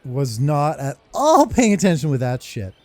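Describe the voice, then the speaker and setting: A man's voice: fairly high pitch, very animated, moderate pace. A man giving casual, muttered, mildly sweary commentary as he plays a video game. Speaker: gamer playing through a story quest.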